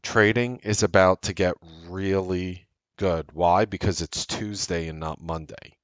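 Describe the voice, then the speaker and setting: A man's voice: low voice, steady tone, measured pace, a bit bright, dry recording, dull audio. A man of about forty talks straight to the viewer. He presses his points hard and repeats them in an earnest, salesy way. The sound is close and dry, with no room behind it. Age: late 30s. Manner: emphatic, repetitive, salesy and earnest. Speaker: trading show host. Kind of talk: direct-to-camera market commentary.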